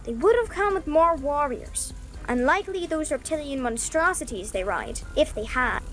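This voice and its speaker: Woman voicing a high, piping little fairy. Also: lively intonation, moderate pace, a bit bright, faint music behind. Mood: thoughtful. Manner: pert, piping delivery. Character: small, forthright young female fairy. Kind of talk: fantasy audio story character acting.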